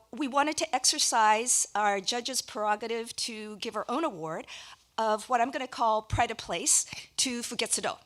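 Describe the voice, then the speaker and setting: A woman giving a public speech as a contest judge at a live awards ceremony, talking conversationally with hesitant pauses. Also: fairly high pitch. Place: live event before an audience.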